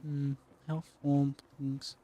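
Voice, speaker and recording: A man's voice: mid-range voice, monotone, extremely slow, warm tone, rough recording. A man giving casual live gameplay commentary to himself in short, muttered, sweary reactions. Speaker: game streamer. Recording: faint background noise.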